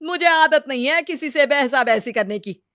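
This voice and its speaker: Sharp-tongued Indian mother-in-law played by a woman: high, dry studio sound, melodramatic taunts for a comic moral story. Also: expressive, moderate pace, no echo. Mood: sarcastic grumbling. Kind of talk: exaggerated comedy story acting.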